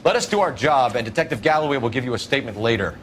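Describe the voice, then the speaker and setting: Man as a fast-talking, earnest American detective. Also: mid-range voice, lively intonation, a little hiss or hum, lightly acted. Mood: indignant. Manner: crisp, direct questioning. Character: earnest, principled male police detective, brisk and inquisitive. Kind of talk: cinematic video game acting.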